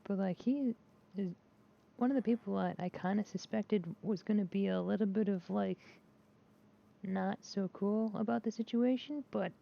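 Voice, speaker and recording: Gravelly-voiced woman rambling on a dull mic. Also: medium pitch, moderate pace. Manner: rambling, hesitant, with many restarts and filler words; candid and self-deprecating. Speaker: gaming vlogger. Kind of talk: casual chat while playing a game. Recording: dull lo-fi mic with faint noise.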